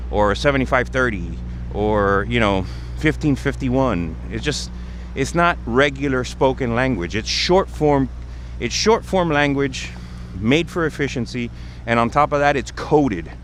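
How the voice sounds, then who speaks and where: A man hosting a casual livestream outdoors on a city street, explaining things in a conversational way. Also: medium pitch. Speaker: livestream host. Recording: outdoor street noise, passing vehicles. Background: traffic and urban ambience.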